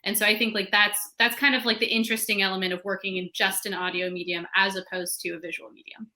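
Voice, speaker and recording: A woman's voice: medium pitch, little pitch movement, fast, bright, forceful tone. A woman speaking as a panelist, explaining the creative process in casual conversation. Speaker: panelist, audio fiction creator.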